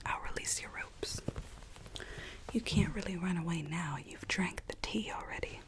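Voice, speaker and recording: Conspiring fairytale Alice, played by a woman, ASMR roleplay. Breathy whisper, hushed, coaxing, softly menacing. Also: on the low side, lightly acted. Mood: coaxing, scheming. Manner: hushed, slow, coaxing, quietly sinister. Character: whispering mad Alice, conspiring captor seeking her true self.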